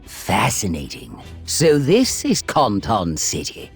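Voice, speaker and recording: A man's voice: on the low side, huge pitch swings, slow pace, soft background music, full character voice. A man playing a haughty, sadistic alien emperor in a video game, speaking with ornate, mocking politeness. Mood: smug, cold. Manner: theatrical, mocking, ornate wording. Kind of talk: video game villain acting.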